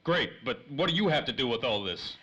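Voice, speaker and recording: A man's voice: mid-range voice, lively intonation, brisk, pushed and bright, lo-fi sound. A man playing an impatient, wisecracking, slangy tough guy in 1930s radio drama style. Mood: wary, brusque. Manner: slangy, snappy. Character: hard-boiled, impatient, wisecracking male 1930s detective type. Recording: faint noise, light ambience.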